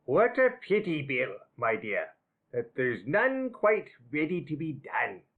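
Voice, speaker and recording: A woman reading an audiobook, voicing a sly, fawning old man who speaks slowly and loudly. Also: sits low, sing-song, phone-quality sound, pitched below the performer's own voice, exaggerated voice. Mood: wheedling. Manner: wheedling, slow. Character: wheedling old male criminal, sly and fawning.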